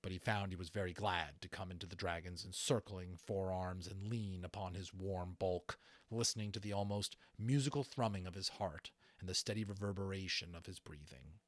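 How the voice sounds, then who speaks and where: A man's voice: sits low, moderate pace, no echo. A man reading a novel aloud as an amateur audiobook narrator, telling the story. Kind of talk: scripted story narration with informal asides.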